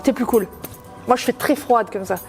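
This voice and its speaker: A woman's voice: on the high side, normal speed, soft background music. A woman in a couple quiz, bantering playfully, teasing her partner and laughing often. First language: French.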